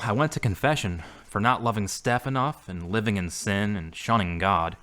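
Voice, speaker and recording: Audio drama role: male actor as earnest ex-carpenter weighed by grief, searching for faith, somewhat low register, plainly worded. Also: a bit bright. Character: grieving former carpenter, earnest, seeking faith again. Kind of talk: amateur audio drama acting.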